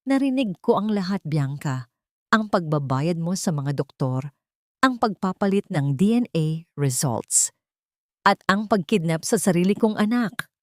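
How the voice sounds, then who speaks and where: A woman's voice: on the low side, lively intonation, a little slow, no echo, studio quality. A woman voicing a cold, bitter, betrayed rich man in a dramatic audio story. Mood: accusing.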